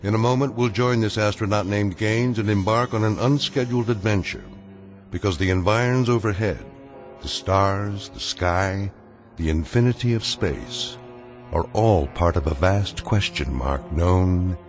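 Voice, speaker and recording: An actor playing a grave, deliberate narrator of eerie tales in a scripted radio drama. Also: male voice, fairly low pitch, soft background music, natural voice. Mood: grave, ominous. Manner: deliberate, portentous. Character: grave, measured male storyteller of eerie tales.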